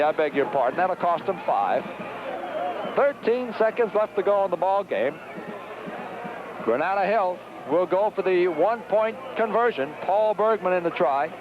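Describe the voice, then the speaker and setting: An American man calling a live football game play by play on the radio, in a muffled, noisy 1970s broadcast recorded at an outdoor stadium. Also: high voice. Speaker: play-by-play sports announcer.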